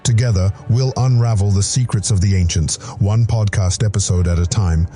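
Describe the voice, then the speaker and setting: A man's voice: fairly low pitch, normal speed, dark tone, soft background music. A man hosting his own podcast, reading a scripted promo with earnest, grandiose cosmic phrasing. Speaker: podcast creator and host.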